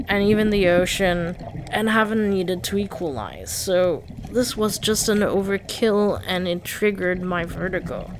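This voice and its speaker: A woman reads her own scripted voice-over at a measured, slightly stiff pace. Her voice sits at an ordinary middle pitch, and a clear hum of background noise runs under the recording. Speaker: vlogger.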